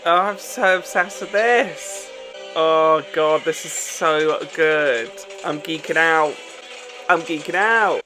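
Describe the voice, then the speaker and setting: A reaction video host giving casual, excited commentary, gushing and full of slang and swearing. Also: medium pitch, slow, soft background music. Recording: TV show audio playing behind.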